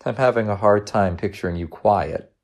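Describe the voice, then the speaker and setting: Storybook mom acted by a male narrator: affectionate, teasing, encouraging, pitched up with very animated swings and some gravel. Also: normal speed, full character voice. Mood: soft, apologetic. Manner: coaxing, affectionate, reassuring. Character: gentle, teasing, encouraging working mother.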